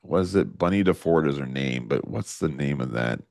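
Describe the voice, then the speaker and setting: A man with a low voice co-hosting a podcast, telling long rambling stories in casual chat, full of fillers. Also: animated, fairly quick, gravelly, darkish tone, no echo, clean studio sound. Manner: rambling storyteller, lots of fillers. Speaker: podcast co-host.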